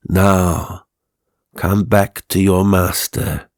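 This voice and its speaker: A man playing a male stage ventriloquist in a horror audiobook, coaxing and commanding in a measured way. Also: sits low, little pitch movement, no room sound, studio quality, full character voice. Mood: calling out, commanding.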